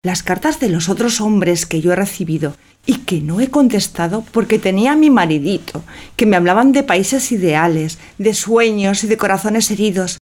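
An actress playing a naive, lovestruck young wife, sighing and yearning in a theatrical audio drama. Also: female voice, medium pitch, expressive, normal speed, darkish tone, close to an everyday voice. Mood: confiding, lovestruck. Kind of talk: theatrical radio drama acting.

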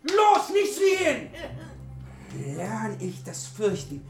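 A fearless young hero with declamatory, exultant swagger in a fantasy radio drama. His slow, sweeping delivery rings out a little way from the mic in a roomy space, with music behind. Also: extremely high pitch, huge pitch swings, recorded from a distance, exaggerated voice. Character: brash, fearless young male hero forging his sword.

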